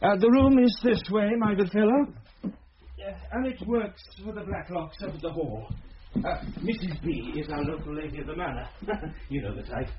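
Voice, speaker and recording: A man acts an eccentric retired schoolmaster in a radio play. He is chatty and fussy in a fairly high voice, on a muffled, faintly noisy recording. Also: moderate pace, close to an everyday voice. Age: middle-aged. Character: eccentric, genial middle-aged retired schoolmaster. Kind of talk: radio drama acting.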